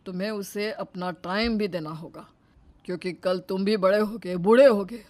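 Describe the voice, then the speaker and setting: A woman's voice: medium pitch, fairly even intonation, moderate pace, a little mellow, close to an everyday voice. A woman playing a wise, firm elderly mother-in-law in a cartoon story, admonishing and plain-spoken. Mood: stern advising. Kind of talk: Hindi cartoon story acting.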